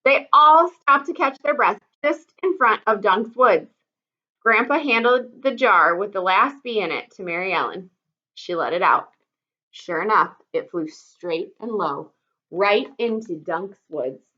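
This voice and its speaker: A woman's voice: medium pitch, lively intonation, a little slow, bright, forceful tone. A woman reading a children's story aloud expressively, like a teacher, in slightly dull audio.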